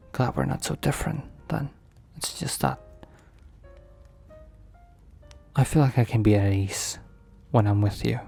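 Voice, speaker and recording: A guarded but softening mafia boss , played by a man in an intimate ASMR roleplay. His voice is low and full of gravelly fry, confiding and slow, turning breathy at times. Soft music plays behind him. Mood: tender, weary.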